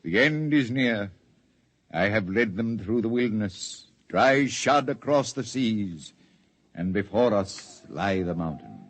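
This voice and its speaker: An actor playing a dying, visionary prophet in a 1950s radio drama, speaking in solemn, halting biblical oratory with a husky, breathy voice. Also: male voice, lowish voice, lively intonation, exaggerated voice. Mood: solemn, prophetic. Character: dying, visionary wild prophet leading exiles to refuge. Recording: old 1950s radio recording.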